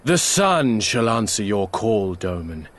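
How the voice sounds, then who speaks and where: Slow, deep, haughty warlord. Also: male voice, sing-song, exaggerated voice. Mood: arrogant. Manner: grandiose, deliberate. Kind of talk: archaic fantasy game dialogue.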